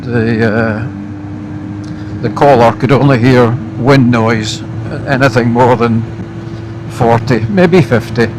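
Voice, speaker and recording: A Scottish man giving a casual, unscripted product review as a motorbike vlogger, speaking haltingly in short phrases while riding outdoors. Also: medium pitch. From Scotland. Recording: loud motorbike wind and engine noise.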